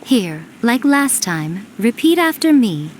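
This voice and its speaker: A woman's voice: on the high side, big pitch swings, takes it slowly, a little hiss or hum. An actress playing a sly, smug ancient female fox yokai in a game cutscene, teasing and amused.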